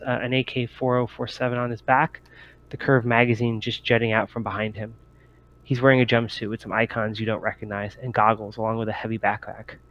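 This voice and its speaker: A man's voice: mid-range voice, fairly bright tone, highs cut off. A man working as game master, narrating a sci-fi tabletop roleplaying game in descriptive, improvised storytelling.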